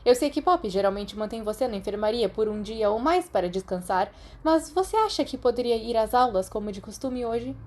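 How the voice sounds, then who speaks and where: A woman voicing a brisk, stern older female professor in a Brazilian accent, firm and protective, for a storybook reading. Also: fairly high pitch, lively intonation, darkish tone. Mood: stern, urgent. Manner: brusque, firm, urgent.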